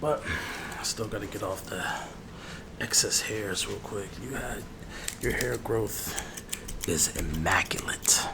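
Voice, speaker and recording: A man's voice: on the low side, slow. A man chatting casually in slang as an ASMR barber, rambling in a familiar way. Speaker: ASMR barber roleplay creator. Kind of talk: ASMR roleplay with casual chat. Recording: clear background noise.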